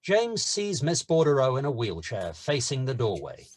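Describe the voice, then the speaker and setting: A man's voice: medium pitch, huge pitch swings, moderate pace. A man reading stage directions as narrator in a scripted screenplay table read.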